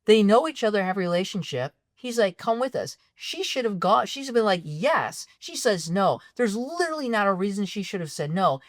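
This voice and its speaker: A woman co-hosting a film podcast, ranting in exasperation about a movie's plot holes, her voice going a bit raspy. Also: mid-range voice, normal speed, hardly any pauses, no echo, clean studio sound. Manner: exasperated, ranting, animated complaints. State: voice getting raspy. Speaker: podcast co-host. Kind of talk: casual film critique chat.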